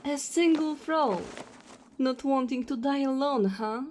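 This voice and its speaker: A woman's voice: high voice, unhurried, darkish tone, slight background noise. A woman playing a cold, self-righteous vampire hunter in an audio roleplay, taunting and contemptuous. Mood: scornful, impatient.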